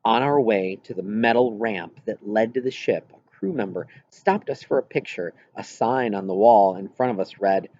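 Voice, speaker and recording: Male narrator for fiction read-aloud: breathy, grainy tone, expressive phrasing, mildly lo-fi sound. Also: lowish voice, moderate pace, few pauses. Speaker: audiobook narrator. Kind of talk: scripted storybook narration.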